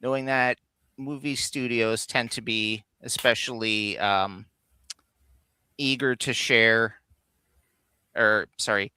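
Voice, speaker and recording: A man's voice: on the low side, sharp tone, clean tone, no room sound. A man co-hosting a casual livestream, explaining things in a hesitant way and often correcting himself. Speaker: co-host of a video game countdown livestream. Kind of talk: casual unscripted chat.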